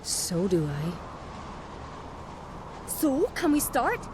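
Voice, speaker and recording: Resolute, high-voiced game heroine. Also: female voice, wildly swooping pitch, very slow, noisy background, full character voice. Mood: firm, secretive. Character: resolute, commanding adult woman with a secret plan. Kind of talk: video game voice acting. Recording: background music under the voice.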